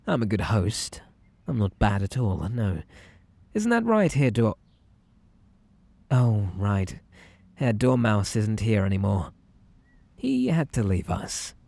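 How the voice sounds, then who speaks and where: A man playing a possessive, unhinged magical bunny boy in an ASMR roleplay, with a British accent, a breathy voice and a theatrically polite, coaxing, menacing manner. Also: lowish voice, very animated, slow pace, pauses often, mellow, no room sound, exaggerated voice. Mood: wounded, unstable.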